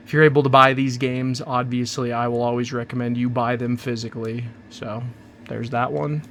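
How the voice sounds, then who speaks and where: Man, gravelly, hoarse from a cold, rambling casual host, mid-pitched voice. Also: a bit bright, a little hiss or hum. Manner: rambling, chatty, self-interrupting. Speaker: channel host. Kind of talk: casual unboxing chat.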